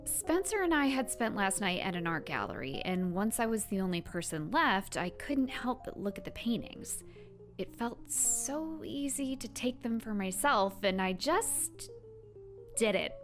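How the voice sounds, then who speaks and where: Casting a woman for audio drama: young superpowered heroine-or-villain, guarded, emotionally open underneath, lively intonation. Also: mid-range voice, a little slow, soft background music. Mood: hesitant.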